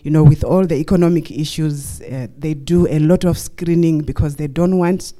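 A woman's voice: deep voice, normal speed, dark tone. A middle-aged woman from Zimbabwe with a Zimbabwean accent, giving a personal testimony at church in short stretches for an interpreter.

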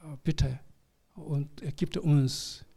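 Slow, halting male pastor, mellow voice. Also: fairly high pitch, fairly even intonation, vocal fry, husky. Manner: halting, searching for words in broken sentences. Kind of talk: church service address and prayer.

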